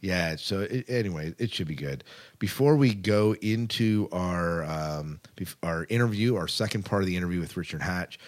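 A man hosting a podcast in a casual, chatty style. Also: sits low. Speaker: podcast host.

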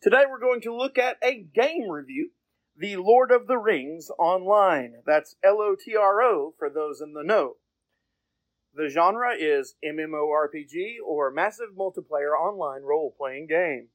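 A male podcast host with a high-pitched voice. He narrates a scripted review in a dry recording with no room echo. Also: lively intonation, a little slow.

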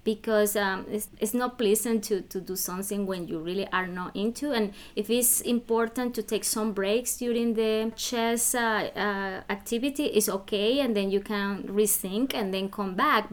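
A woman from Venezuela with a Venezuelan Spanish accent, a guest on a podcast. Her voice sits at an ordinary middle pitch. She searches for words, leaning on fillers and repeats. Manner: hesitant, many fillers and restarts. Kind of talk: podcast interview.

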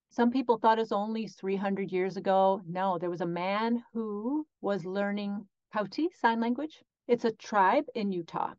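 Woman interpreter, mid pitch, measured phrasing, dry and slightly dull recording. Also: darkish tone, dry recording. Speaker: sign language interpreter voicing the guest.

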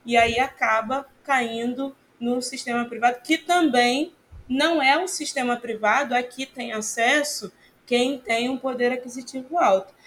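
Hesitant woman, slightly high voice. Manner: hesitant, repeats words while thinking. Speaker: community church member. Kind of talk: reflective interview answer.

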